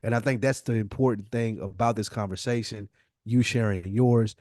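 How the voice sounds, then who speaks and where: A man's voice: on the low side, steady tone, normal speed, a little mellow, no room sound. Emphatic American man, dry close sound. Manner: emphatic, repeats phrases for emphasis. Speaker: podcast co-host. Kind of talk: casual motivational podcast talk.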